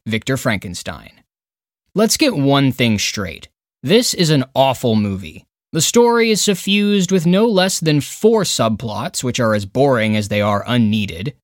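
Animated man narrating a scripted countdown. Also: medium pitch, no room sound, studio quality. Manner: opinionated, emphatic, dramatic phrasing. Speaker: podcast narrator and film critic.

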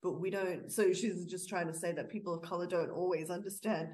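A woman's voice: on the low side, steady tone, brisk, darkish tone. A woman co-hosting a casual podcast, recounting things she has seen in a chatty way, with plenty of "like" and "you know". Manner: chatty storytelling with fillers. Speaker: podcast co-host. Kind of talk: casual discussion.

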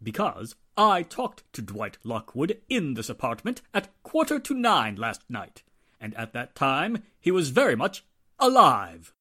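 Pompous, wildly expressive theatrical actor. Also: male voice, medium pitch, a little slow, slightly rough, exaggerated voice. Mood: insistent. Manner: pompous, formal. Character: haughty, theatrical male stage actor, indignant. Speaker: actor in a radio drama. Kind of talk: 1940s radio acting.

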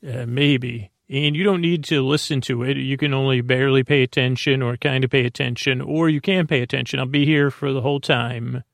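Male bedtime-podcast host, creaky fry, dry close recording, meandering monologue full of halting repeats. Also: medium pitch, fairly even intonation, no room sound.

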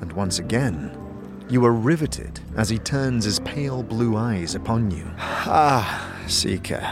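A man's voice: lowish voice, animated, measured pace, over music. A man narrating a scripted fantasy story in the second person.

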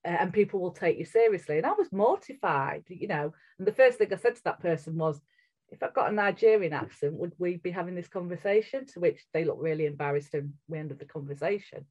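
A woman's voice: on the low side, expressive, fairly quick, darkish tone. A middle-aged woman with a strong northern English accent, speaking earnestly and candidly as a guest in a podcast interview. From England. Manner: earnest, candid, with frequent restarts. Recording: muffled, lo-fi audio.

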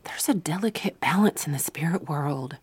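A guarded folk healer speaks in a low, breathy, husky voice. She sounds cautionary, in the manner of radio drama. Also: animated, normal speed, darkish tone, exaggerated voice. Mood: hushed warning. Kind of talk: old-time radio drama acting.

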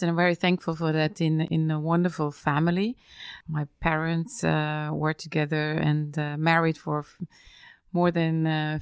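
Middle-aged woman from Austria, low and gravelly, hesitant interview guest with many fillers, dry close sound. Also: no echo. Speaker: interview guest, business executive. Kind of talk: casual interview conversation.

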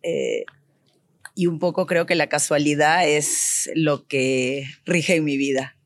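A woman's voice: low voice, expressive, takes it slowly, no room sound. A woman from Peru, an architect and designer, telling stories as an interview guest. She speaks animatedly and acts out remembered bits of dialogue. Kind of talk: conversational storytelling.

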